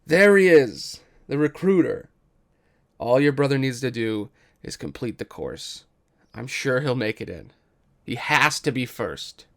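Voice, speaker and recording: Man playing a loud, proud soldier father. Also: mid-range voice, expressive, a little slow. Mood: brusque, excited. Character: stern, booming, proud father, ex-royal guard. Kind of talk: table read of a musical script.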